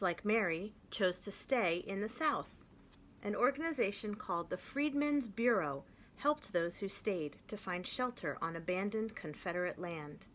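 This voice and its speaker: A woman reading a children's picture book aloud, with gentle, expressive and clear storytelling. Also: mid-range voice, a little hiss or hum.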